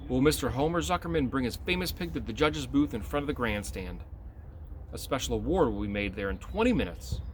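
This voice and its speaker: A man voicing a formal fairground loudspeaker announcer in a storybook, with a declamatory manner. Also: medium pitch, expressive, a little fast, a little hiss or hum, close to an everyday voice.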